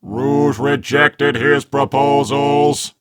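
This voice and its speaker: A man voicing a blunt, literal-minded combat robot in a fan cartoon dub, speaking in a stiff, formal, deliberate way. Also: mid-range voice, steady tone, dry recording, clean studio sound. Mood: matter-of-fact.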